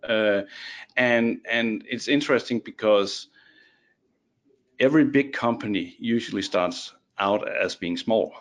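A man's voice: lowish voice, a little slow, fairly bright tone. A man from Denmark with a Danish accent, a guest on a casual podcast chat, who restarts and repeats words often.

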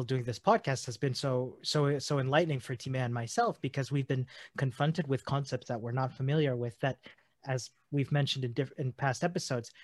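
A man's voice: mid-range voice, no room sound. A man co-hosting a podcast, talking earnestly and restarting his phrases often as he thinks aloud. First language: not German. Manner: earnest, halting with many restarts. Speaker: podcast co-host. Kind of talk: conversational podcast discussion.

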